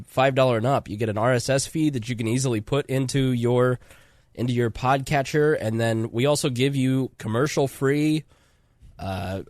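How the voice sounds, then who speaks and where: A man's voice: mid-range voice, no echo, studio quality. A man hosting a casual podcast, talking in a rambling, chatty way with his voice congested from a cold. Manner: rambling, self-interrupting, chatty. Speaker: podcast host.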